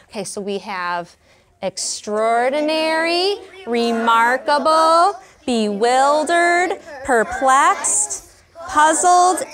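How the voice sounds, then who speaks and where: A woman in her forties teaching a class of young children, speaking slowly and expressively in an elementary classroom. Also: fairly high pitch, a bit bright. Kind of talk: classroom read-aloud and teaching.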